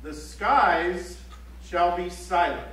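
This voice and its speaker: An actor playing a serious newspaper reporter, reading news reports theatrically on an auditorium stage. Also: male voice, high voice, drawn-out words, a bit bright, full character voice. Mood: grave, emphatic. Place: library auditorium stage, live play. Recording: echoey auditorium from far off, faint hum.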